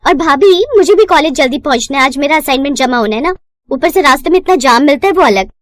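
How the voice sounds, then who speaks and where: A woman voicing an outspoken young college girl who complains to her family in an animated moral story. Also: high voice, quick pace, dry recording. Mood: hurried. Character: young female college student, outspoken, complaining sister-in-law.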